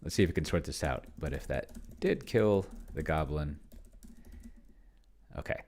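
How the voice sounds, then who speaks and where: Very deep-voiced man thinking aloud. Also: lively intonation, a little mellow, slight background noise. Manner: rambling, muttering to himself, dictating slowly as he types. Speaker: solo tabletop player demonstrating a game. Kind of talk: casual screen-recording commentary, thinking aloud while typing. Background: keyboard typing.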